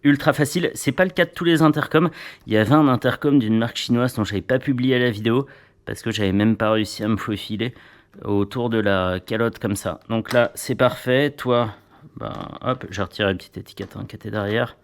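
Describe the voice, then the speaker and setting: A man's voice: mid-range voice, fairly quick, a little hiss or hum. A man reviewing motorcycle gear in a casual, chatty way, with playful little asides.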